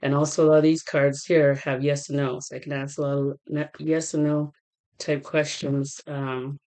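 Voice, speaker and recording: Halting woman tarot reader, getting over a cold. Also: low voice, little pitch movement, darkish tone. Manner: halting, with many fillers and restarts. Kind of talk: casual rambling vlog talk.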